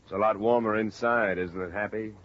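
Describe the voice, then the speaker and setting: Male actor as tough, wry American investigator, low clipped voice, hard-boiled 1950s radio drama, dull vintage recording. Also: fairly even intonation, fairly quick, slight background noise, lightly acted. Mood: terse, firm. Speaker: lead actor and narrator.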